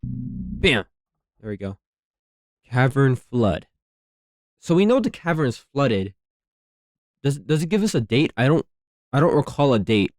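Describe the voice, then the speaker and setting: A man giving casual, rambling commentary as a host, slangy, repeating himself and thinking out loud. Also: mid-range voice, big pitch swings, unhurried, pauses often, no room sound, clean studio sound. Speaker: theory video host reacting to horror series.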